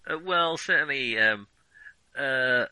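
A man's voice: medium pitch, expressive, takes it slowly, pushed and bright, smooth, dry recording. A man co-hosting a podcast, chatting casually about films in a hesitant, circling way. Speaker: podcast co-host. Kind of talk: casual film discussion.

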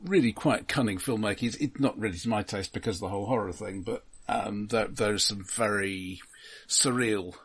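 Man, low voice, film podcast co-host. Hesitant, explanatory, measured delivery in casual discussion. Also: fairly bright tone, husky.

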